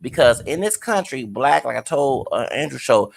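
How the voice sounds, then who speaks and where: Man with a gravelly voice. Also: medium pitch, animated, moderate pace. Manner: long rambling explanations with many restarts. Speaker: show host. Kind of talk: casual conversation.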